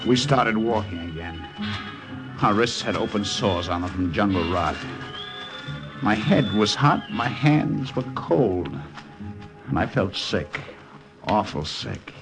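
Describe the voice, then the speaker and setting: Casting: feverish young American GI, lowish weary voice, plain first-person narration, old radio play scored with music. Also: male voice, animated, slow pace, rough recording. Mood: weary, grim. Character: sick young Japanese American soldier recounting his jungle ordeal.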